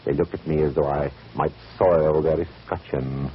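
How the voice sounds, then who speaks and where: An actor playing a droll sidekick with lofty wording in a vintage radio drama. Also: male voice, extremely low pitch, fairly even intonation, moderate pace. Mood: droll, miffed. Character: drowsy, droll male sidekick of the detective. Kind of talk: 1940s-50s radio drama acting. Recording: muffled, noisy vintage radio.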